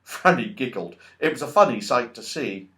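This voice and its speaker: A man narrating a children's story from a script in an expressive, dramatic storytelling manner. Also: mid-range voice, normal speed. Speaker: audiobook narrator.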